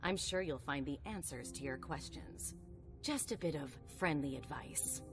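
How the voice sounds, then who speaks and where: A woman's voice: low-pitched, expressive, unhurried. A woman playing a cynical, protective female vampire sire in a video game, with a dry, sardonic, emphatic delivery. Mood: wary, warning. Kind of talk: video game cutscene dialogue acting. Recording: game music underneath. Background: background music.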